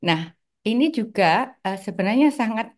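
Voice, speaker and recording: A woman in her sixties from East Java, a guest recalling her family's past in an interview, pausing often with fillers. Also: a little high. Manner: hesitant, many filler pauses. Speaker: guest, article author. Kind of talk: interview, personal recollection.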